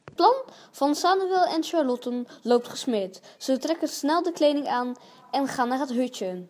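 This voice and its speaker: A woman's voice: sits high, expressive, a little slow, a little mellow. A woman narrating an amateur radio play as a storyteller.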